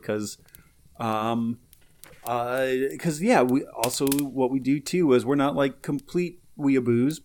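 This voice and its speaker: A man's voice: mid-range voice, a little mellow. Upbeat guy rambling on a podcast, lots of likes and ums, a little hiss behind. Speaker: podcast co-host. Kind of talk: casual chat.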